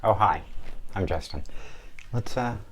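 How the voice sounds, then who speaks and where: A man's voice: fairly low pitch, animated, a little slow, slight background noise. A man giving a casual, playful drawing tutorial for kids, rambling and trailing off mid-thought. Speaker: guest artist giving drawing lesson.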